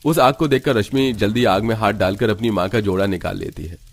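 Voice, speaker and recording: A man narrating a scripted animated story. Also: lowish voice, a little fast.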